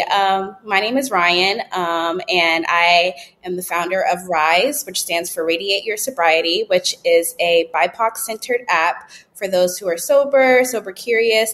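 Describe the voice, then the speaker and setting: A woman in her late twenties telling her story as a podcast guest, candid and relaxed, with frequent ums and likes. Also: medium pitch. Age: 20s. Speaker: podcast guest, app founder. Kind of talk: casual interview storytelling.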